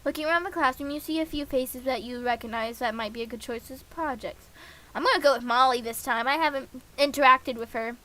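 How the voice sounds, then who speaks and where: A woman's voice: high-pitched, a little fast, bright, forceful tone. A woman streaming a game, reading visual novel dialogue aloud in a casual, playful way.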